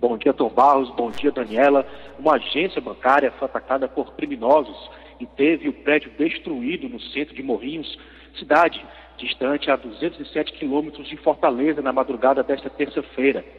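A man's voice: medium pitch, steady tone, moderate pace, husky. A male journalist reporting news in formal delivery. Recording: phone-like narrow band, reverberant.

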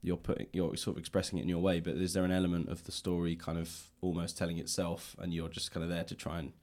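A man hosting a conversational podcast and interviewing a guest, softening his phrasing as he goes. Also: low voice, completely flat delivery, fast, no room sound.

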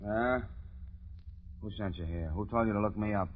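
An actor playing a suspicious, blustering small-time crook with gruff, slangy American speech, in the style of 1940s radio drama. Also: male voice, lowish voice, normal speed, slight background noise, close to an everyday voice. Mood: defensive, uneasy. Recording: muffled old-time radio recording.

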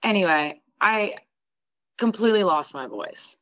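A young woman from Houston. She is a singer being interviewed, and she tells a story in a lively way, acting out what other people said. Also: mid-range voice, a little slow, no echo. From Houston, Texas. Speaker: guest singer.

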